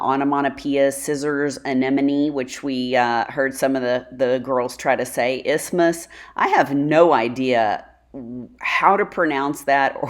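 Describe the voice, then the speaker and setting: A woman from Dallas with a strong Texan accent, hosting her channel and chatting casually with viewers, laughing often. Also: very deep voice. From Dallas, Texas. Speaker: channel host.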